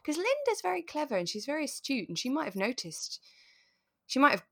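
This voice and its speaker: A British woman co-hosting a podcast in casual chat, with a playful, expressive way of retelling things. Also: sits high, brisk. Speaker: podcast co-host. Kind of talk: casual chat discussing a radio drama.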